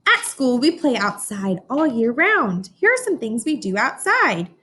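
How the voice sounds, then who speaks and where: A woman teacher reading a picture book aloud to young children, expressive, often pausing to question her listeners directly. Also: fairly high pitch, a little hiss or hum. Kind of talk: informational read-aloud lesson for young children.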